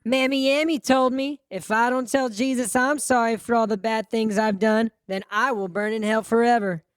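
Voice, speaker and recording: A woman voicing a vengeful, gleefully cruel boy in an audiobook, with a taunting, drawn-out delivery. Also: on the high side, steady tone, dry recording, studio quality, close to an everyday voice. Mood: menacing taunts. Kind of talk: audiobook character acting.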